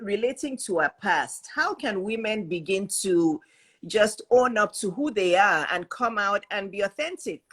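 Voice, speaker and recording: A woman in her fifties hosts the conversation as a life coach. She asks her questions with emphatic, encouraging energy. Her voice sits at an ordinary middle pitch. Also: fairly bright tone, slightly rough. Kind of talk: conversational interview.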